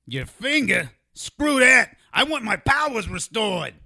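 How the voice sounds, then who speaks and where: Raspy, high-pitched sour little magic man. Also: very animated, takes it slowly, sharp tone, full character voice. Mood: indignant. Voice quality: raspy, creaky. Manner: sarcastic, griping, exclamatory. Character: funny-looking little magical man, wisecracking, sour, lecherous. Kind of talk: audio drama acting.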